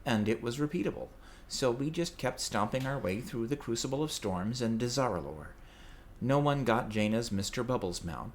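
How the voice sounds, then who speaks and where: A man's voice: medium pitch, slight background noise. A man reading a scripted, playful report as a podcast community correspondent. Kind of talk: scripted segment narration.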